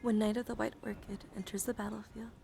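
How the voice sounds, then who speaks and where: A young woman livestreaming casual ASMR card chat, with a breathy voice that often slips into whispers and nerdy, coaxing, rambling asides. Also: mid-range voice, little pitch movement, quick pace, mellow, soft background music. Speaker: livestreamer showing her card deck. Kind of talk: casual ASMR hand-cam chat with viewers. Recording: noticeable background noise.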